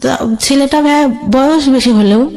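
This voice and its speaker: A woman's voice: high voice, moderate pace, dark tone. A woman with a Bangladeshi accent telling her life story as a guest in conversation, colloquially recounting who said what. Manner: recounts quoted exchanges in colloquial speech.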